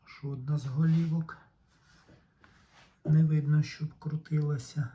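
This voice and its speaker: A man giving a casual, hands-on technical explanation in a deliberate, halting way, pausing mid-sentence. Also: a little high, fairly even intonation, warm tone, muffled recording. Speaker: tape recorder repair vlogger.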